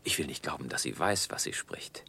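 A man's voice: rumbling low, expressive, a little fast, creaky, bright, forceful tone, whispered, full character voice. A man playing a suave, cynical French chevalier in a German radio drama, with an urbane, ironic manner. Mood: skeptical.

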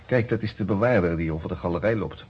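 A man's voice: low-pitched, quick pace, slightly rough. An actor in a radio play voicing a smug, worldly-wise lawyer in prison, telling his story in a chatty, wry way. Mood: wry, confiding. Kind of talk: Dutch radio drama acting, conversational storytelling. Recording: dull, muffled old radio recording.

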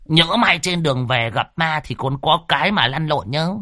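A man's voice: a little high, expressive, moderate pace, pushed and bright, dry recording, clean studio sound, voice pushed up. A man voicing a brash, folksy fellow giving commanding advice in an audio story. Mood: brash, advising.

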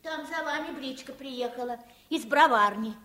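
Grumbly old housekeeper lady, highish voice, folksy and blunt, old soviet radio play. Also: moderate pace, sharp tone. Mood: sardonic, grumbling. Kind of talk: Soviet radio drama acting.

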